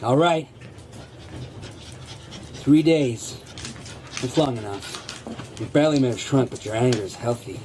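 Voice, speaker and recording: An actor playing a sly gardener turned dog thief in a radio drama, coaxing and theatrical, repeating himself. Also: male voice, mid-range voice, animated, takes it slowly, long pauses, darkish tone, slight background noise. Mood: taunting, domineering.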